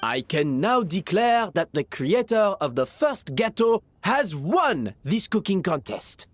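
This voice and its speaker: A woman playing a discerning contest judge in a video game, speaking with formal, deliberate authority. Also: lowish voice, sing-song. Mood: formal verdict. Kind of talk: video game dialogue acting. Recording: studio dialogue, faint game music.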